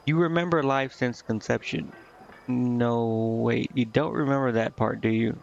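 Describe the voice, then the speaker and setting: A man's voice: mid-range voice, fairly even intonation, unhurried, gravelly. Man reading as an earnest succubus, slightly dull sound. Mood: halting, reflective. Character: earnest, naive female succubus demon, remorseful and curious. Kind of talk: reading video game dialogue aloud.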